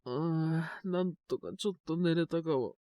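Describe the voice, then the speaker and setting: A man playing a sick, stubborn but shy teenage boy in an intimate Japanese audio drama, speaking in a halting, casual, congested mumble. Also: a little high, no echo, highs cut off, natural voice. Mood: weary, unwell. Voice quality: congested cold-sick voice with coughs.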